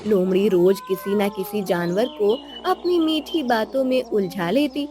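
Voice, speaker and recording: A woman narrating a scripted children's story in an expressive storytelling manner. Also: mid-range voice, measured pace, background noise.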